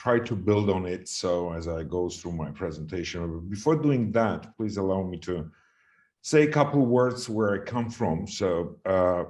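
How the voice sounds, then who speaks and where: The lowish-voiced Georgian-accented man at a policy conference, middle-aged, feeling his way through his presentation and leaning on the key words. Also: animated, a little slow, darkish tone. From Georgia (the country). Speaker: conference presenter on health governance. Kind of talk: formal presentation, speaking extempore.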